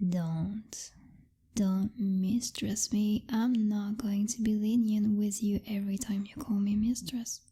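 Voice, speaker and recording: A woman playing a possessive, jealous vampire girlfriend who is commanding but secretly tender, in a soft, intimate ASMR whisper close to the microphone. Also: mid-range voice, breathy edge. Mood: irritated, pouting. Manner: slow, teasing, domineering, with lingering pauses and hums.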